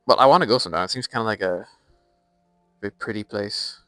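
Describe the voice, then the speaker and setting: A man's voice: lowish voice, fast, a bit bright, dry recording, faint music behind. A young American man chatting casually on a live stream while playing a game and reading its lore aloud. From United States.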